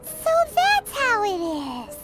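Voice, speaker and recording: Tiny fairy sidekick, very high childlike squeak, wildly swooping intonation, cheeky, cutesy, video game. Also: female voice, slow, heavily acted. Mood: curious. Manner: exclamatory, cutesy, animated. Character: tiny excitable girl fairy companion, chatty and cheeky. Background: music.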